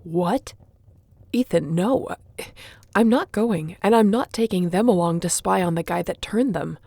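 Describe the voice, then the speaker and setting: A woman playing a curt, lowish-voiced sardonic tracker. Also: a little slow, gravelly, dark tone, no room sound. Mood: irritated, curt. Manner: curt, dry sarcasm. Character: irritable, guarded, sardonic young female shifter tracker. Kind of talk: dramatic audio roleplay.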